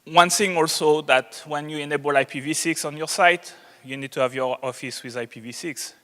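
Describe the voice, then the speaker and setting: A man's voice: on the high side, moderate pace, a bit bright. A man with a strong French accent giving an unscripted technical presentation as a conference speaker. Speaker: conference presenter, network engineer. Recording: reverberant hall.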